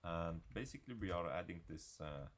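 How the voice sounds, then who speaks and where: A man giving an unscripted software screencast tutorial in a halting, hesitant way. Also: low-pitched, lively intonation.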